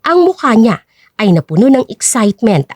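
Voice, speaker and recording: A woman's voice: a little high, sing-song, normal speed, darkish tone, no room sound. A woman reading scripted dramatic story narration as the narrator.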